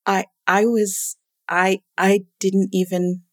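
A middle-aged woman telling a personal story in a casual chat, animated and quick to laugh, with a loud voice. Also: mid-range voice, no room sound, studio quality. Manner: calls herself loud-voiced.

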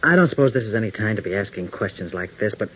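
A man's voice: on the low side, animated, fast talker, fairly bright tone, breathy edge. An American man playing an earnest, take-charge young pilot hero in a 1940s radio adventure serial. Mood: questioning. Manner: earnest, decisive. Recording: muffled vintage 1940s radio recording.

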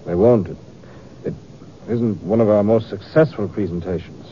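An actor playing a gloomy, nervous, failing travelling stage player in a 1950s radio western, speaking hesitantly. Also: male voice, on the low side, moderate pace, lightly acted. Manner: hesitant, stammering. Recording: muffled, noisy old radio recording.

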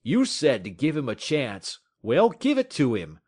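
A man's voice: medium pitch, wildly swooping pitch, measured pace, darkish tone, husky, pitched above the performer's own voice, full character voice. A man voicing an earnest dog owner who talks urgently and persuasively. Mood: pleading.

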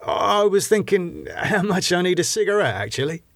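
A man voicing an agitated, defiant man, pitched up. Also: big pitch swings, moderate pace, vocal fry, fairly bright tone, no room sound, clean studio sound, full character voice. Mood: shaken, apologetic. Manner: stammering, sweary, snapping outbursts. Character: agitated, defiant man, haunted and bitterly sarcastic. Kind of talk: audiobook character dialogue.

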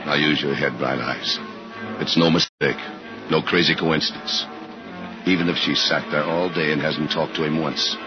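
A hard-boiled male detective in a fifties radio thriller. His very deep voice delivers terse, slangy lines. The recording is dull and muffled, with music clearly behind him. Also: steady tone, moderate pace. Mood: brooding, stern. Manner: terse, clipped slangy talk. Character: hard-boiled detective, doubting and self-reproaching, tailing a suspect. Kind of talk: 1950s radio drama acting, noir narration.

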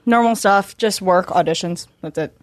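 A woman answering in short, matter-of-fact replies. Her pitch is middling. The sound is close and dry. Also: normal speed, no echo. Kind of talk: casual chat.

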